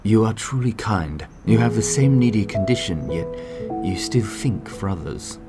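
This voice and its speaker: A man playing a kind, regal king in an animated fairy tale, speaking in a formal, declarative way. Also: lowish voice, moderate pace. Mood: benevolent. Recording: music under the voice.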